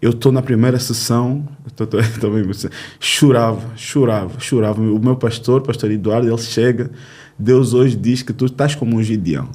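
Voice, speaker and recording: Mellow-voiced man in his thirties from Angola. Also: medium pitch, fairly even intonation. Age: 30s. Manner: earnest, storytelling, with filler pauses. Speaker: youth ministry leader. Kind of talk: testimony in a podcast interview.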